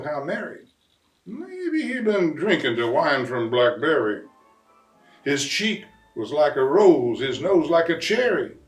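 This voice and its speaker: A man voicing an excitable bayou papa who tells a rhyming Christmas storybook tale in a folksy, animated way with drawn-out emphasis. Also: on the high side. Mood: amused wonder. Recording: roomy, distant mic, faint music.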